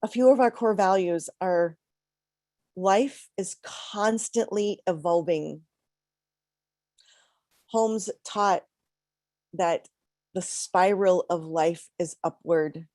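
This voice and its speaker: A middle-aged woman minister giving a reflective, conversational sermon with pauses, a little congested from a cold. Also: fairly low pitch, dark tone, no echo.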